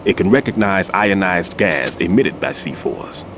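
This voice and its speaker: An actor playing an older male bomb disposal expert, a patient mentor who explains things in a measured, instructive way in a game cutscene. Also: low-pitched, lively intonation, background noise. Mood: calm instructive. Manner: instructive, reflective. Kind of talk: video game cutscene dialogue. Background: music score.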